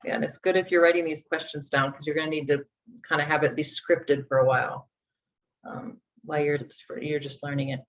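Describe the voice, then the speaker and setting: Low-voiced woman in her forties teaching. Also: little pitch movement, fairly quick. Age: 40s. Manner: conversational, walks through steps aloud. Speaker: instructor. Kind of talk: live workshop teaching. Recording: dull lo-fi sound.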